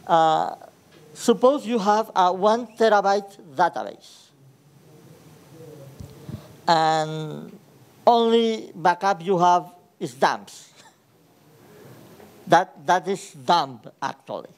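Slow-speaking Ecuadorian man giving a tech talk. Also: high-pitched, lively intonation. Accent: Ecuadorian Spanish. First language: Spanish. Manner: hesitant, frequent fillers and restarts. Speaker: conference speaker. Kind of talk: technical conference talk. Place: large conference hall with audience.

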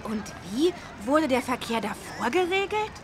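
Cartoon game role: polite, eager little boy, high-pitched. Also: big pitch swings, measured pace, noisy background. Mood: curious. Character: eager, polite young boy, the elephant's friend. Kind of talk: German children's cartoon game acting.

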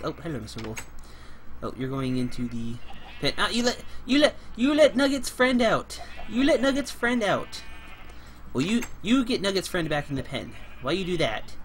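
A young man hosting a casual game let's play, playful and chatty, getting over a cold and clearing his throat now and then. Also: a little high, fairly bright tone, a little hiss or hum. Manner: playful, chatty, talks to animals and co-player in a cutesy way. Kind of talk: casual gameplay commentary.

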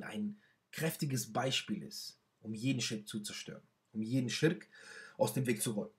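A man's voice: mid-range voice, fairly bright tone. A young man whose first language is not German, presenting his own book in a casual talk to camera from a small bedroom, hesitant with many fillers and restarts.

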